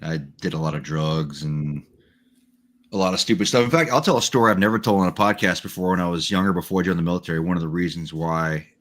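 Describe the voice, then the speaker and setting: A man with a very low, rumbling voice chats easily and openly about growing up, casual and a little rough around the edges. He is in his forties and talks into his own microphone from home. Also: fast talker. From Texas, USA. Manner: laid-back, candid, blunt, a little profane. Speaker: guest, retired Army Special Forces sergeant major. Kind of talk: casual interview storytelling. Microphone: own microphone, remote call.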